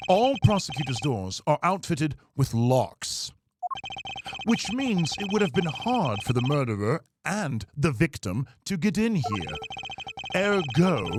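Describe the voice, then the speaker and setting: A man voicing a pompous, cerebral, self-assured prosecutor in a video game, speaking formally and in measured phrases. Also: fairly high pitch, voice pushed down. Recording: loud music behind.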